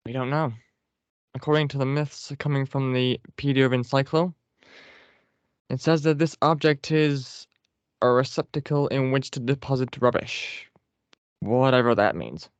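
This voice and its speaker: A man's voice: medium pitch, moderate pace, pauses often, smooth, dry recording, lightly acted. A weary, fatalistic paper general, voiced by a man, gloomy and sarcastic in an everyday middle voice. The sound is dull and lo-fi and very dry.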